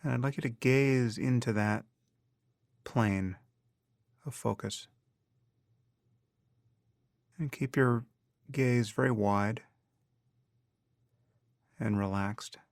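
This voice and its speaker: Slow, gravelly man guiding meditation. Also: mid-range voice, no echo, studio quality. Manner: calm, measured, long pauses between short phrases. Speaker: meditation teacher. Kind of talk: guided meditation instruction.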